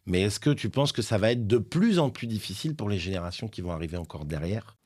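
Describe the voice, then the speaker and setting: A man hosting a podcast, asking relaxed conversational questions. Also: on the low side, quick pace, breathy edge, no echo, studio quality. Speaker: podcast host. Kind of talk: interview questions.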